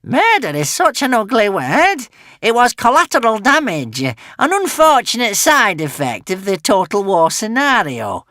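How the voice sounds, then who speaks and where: A man's voice: high-pitched, huge pitch swings, unhurried, dry recording, studio quality, voice pushed up, exaggerated voice. A man voicing a scheming witch who talks down to others with cold scorn. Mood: scornful. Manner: scornful, lofty, sharp.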